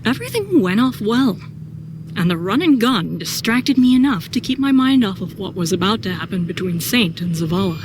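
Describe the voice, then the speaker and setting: A woman's voice: medium pitch, big pitch swings, normal speed, close to an everyday voice. A woman narrating a sci-fi audio drama in first person as a troubled, brooding soldier. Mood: anxious. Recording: clear background noise and ambience.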